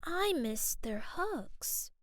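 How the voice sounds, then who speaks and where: Curious little boy in a picture book, high and bouncy, lively, played by a woman. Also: very slow, dry recording, studio quality, exaggerated voice. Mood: eager. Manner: bright and excited. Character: eager young boy, curious and homesick.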